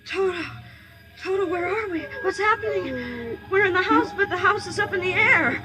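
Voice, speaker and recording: An earnest, trusting farm girl in a vintage radio play, her voice very high. She speaks in breathless, wide-eyed exclamations and hesitations, over prominent music in an old broadcast recording. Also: animated, normal speed, rough recording. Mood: frightened, excited. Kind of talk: 1950s radio drama acting.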